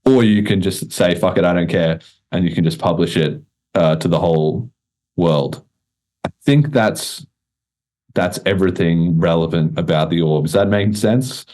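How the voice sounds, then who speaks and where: A man's voice: deep voice, darkish tone. A man hosting a podcast, explaining things in a casual, informal way with the odd swear word. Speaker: podcast host.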